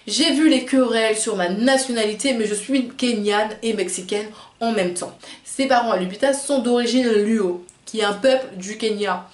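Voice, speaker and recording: Echoey-voiced woman narrating a video. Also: mid-range voice. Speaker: video host. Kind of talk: scripted narration with read-out quotes. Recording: roomy, echoing sound.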